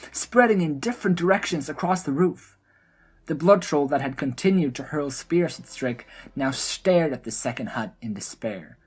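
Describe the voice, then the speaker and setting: A woman narrates a scripted fantasy tale. Her voice is very low and husky, moving expressively up and down as she tells the story.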